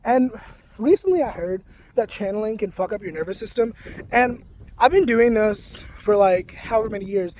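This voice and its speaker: A young man talking casually to camera in a soft-spoken, rambling way, full of "like". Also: sits high, animated, moderate pace, slight background noise, poor audio. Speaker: spiritual vlogger.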